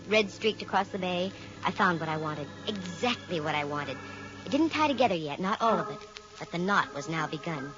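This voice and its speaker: A woman playing a brisk, sharp-witted private detective, acting and narrating in the style of 1940s radio. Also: mid-range voice, lightly acted. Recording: muffled vintage radio recording. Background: music.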